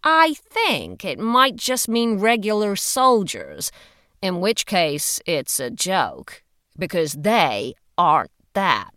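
A brash, loyal woman as a female narrator plays her speaks in blunt, punchy commands. Her pitch swings boldly and the sound is dry and close, in audiobook dialogue. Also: wildly swooping pitch, takes it slowly, a bit bright, dry recording, full character voice. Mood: brash, wry.

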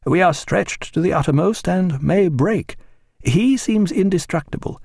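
Man acting courteous, higher-voiced government official. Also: animated, measured pace, vocal fry, darkish tone, breathy edge, dry recording, studio quality, exaggerated voice. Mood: grave, worried. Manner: formal, deferential, precise. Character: courteous, anxious Admiralty official, formal and bookish.

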